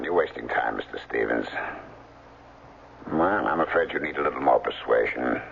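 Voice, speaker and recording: An actor in a 1970s radio drama playing a ruthless swindler with no conscience, speaking in formal, condescending phrases. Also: male voice, extremely low pitch, gravelly, exaggerated voice. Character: ruthless, conscienceless male swindler and captor, coolly menacing. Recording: dull 1970s radio recording, faint noise.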